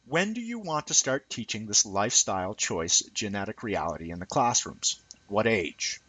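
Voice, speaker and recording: A man reading an opinion essay aloud in an emphatic, sardonic, deliberate way. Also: fairly low pitch, big pitch swings, sharp tone, breathy edge, no room sound. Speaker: reader of an essay.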